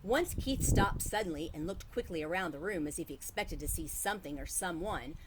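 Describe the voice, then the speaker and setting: A woman narrates a children's story with expression. Her voice sits a little low, and there is faint noise in the recording. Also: lively intonation, a little fast, rough recording. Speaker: read-aloud storyteller. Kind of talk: expressive children's book reading.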